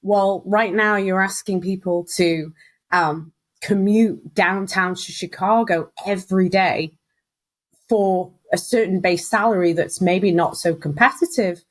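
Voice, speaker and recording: A woman in her thirties chats easily as an interview guest, spinning her story with quick asides. Her voice sits in a middle register and she slips into little acted-out quotes as she goes. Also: medium pitch. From Wales. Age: 30s. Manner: chatty storyteller, acts out quoted voices, laughs often. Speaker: guest, recruitment business founder. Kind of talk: casual interview chat.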